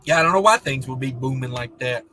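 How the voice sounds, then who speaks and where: A man hosting a trucking livestream, reading viewer comments in a rambling, laid-back chat, and his voice is going hoarse. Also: medium pitch, quick pace, bright, forceful tone, slight background noise. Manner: rambling, laid-back, many fillers and restarts. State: losing his voice.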